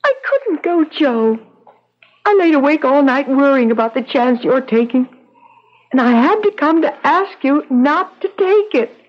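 High-voiced, pleading radio wife. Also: female voice, animated, measured pace. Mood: anxious pleading. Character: anxious pleading wife of an endangered witness. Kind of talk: 1970s radio drama acting. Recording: dull vintage radio recording.

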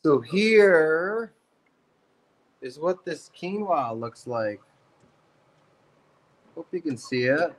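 A man's voice: on the high side, animated, extremely slow, long pauses. Casual man on a cooking stream. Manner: casual, offhand. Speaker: cook helping on the stream. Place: kitchen.

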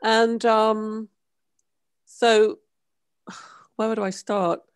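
A middle-aged woman from Trinidad and Tobago, a guest author on a video-call panel. She talks in an animated, casual way through a headset, with many ums. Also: on the high side, extremely slow, clear, resonant tone. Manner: animated, gestures while talking, many ums. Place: home room, video call.

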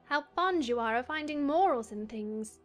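A woman's voice: high voice, animated, normal speed, faint music behind. A woman playing a polite, curious young girl in a dramatized audiobook, matter-of-fact in manner.

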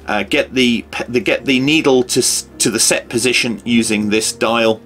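A man's voice: medium pitch, moderate pace, fairly bright tone, faint music behind. A British man in his fifties giving an informal, hesitant, unscripted how-to demonstration as a practical presenter. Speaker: presenter of a radio how-to video. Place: small home office or workshop room.

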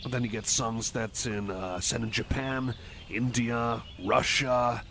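A man voicing a shy, kindly cyborg friend, rambling haltingly and apologetically in a casual roleplay ASMR chat. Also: mid-range voice, fairly even intonation, gravelly, fairly bright tone. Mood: enthusiastic, rambling. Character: shy, kindly, rambling male cyborg friend, eager game-music nerd. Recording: noisy outdoor ambience with birdsong.

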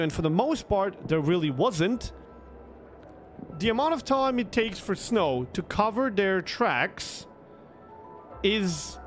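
A young man with a Croatian accent and a fairly high voice tells a story casually to his stream. He is emphatic and swears freely, repeating words to drive a point home. He speaks close to a desktop mic, with music faintly behind him. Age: young adult. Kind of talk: casual storytelling to a livestream chat. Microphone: close desktop microphone with headset.

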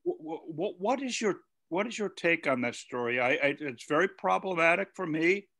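A man's voice: on the high side, lively intonation, normal speed, sharp tone. A man putting a question in a group discussion, hesitant and repeating words, on a video call. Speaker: participant asking a question. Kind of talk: conversational questioning. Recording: dull video call audio.

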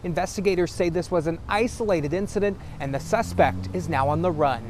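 A young man in his twenties reporting live outdoors at night in a brisk, steady news delivery. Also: high-pitched, very noisy. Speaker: field reporter.

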